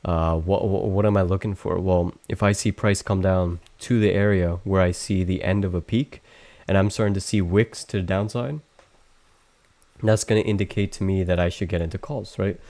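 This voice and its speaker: A young man with a low voice talks through charts on a live stream. His delivery is monotone and halting, full of restarts and fillers, in a casual lesson style. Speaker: trading stream host.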